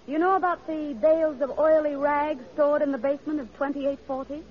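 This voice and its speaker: A woman's voice: high-pitched, fairly even intonation, a little fast, close to an everyday voice. An actress playing an earnest, idealistic, well-bred young woman in an old-time radio drama, speaking politely and carefully. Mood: coolly polite. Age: young adult. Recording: muffled old radio recording.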